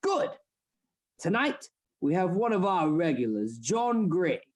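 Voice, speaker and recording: An actor playing a stern, secretive anatomy lecturer in a radio play, formal and instructing. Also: male voice, high voice, very animated, takes it slowly, full character voice. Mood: grave, secretive.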